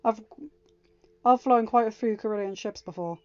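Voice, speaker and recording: Han, woman voicing a gruff starship captain. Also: on the high side, moderate pace, darkish tone, no echo, lo-fi sound, lightly acted. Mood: irritated. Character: Han, brash male starship captain, irritable.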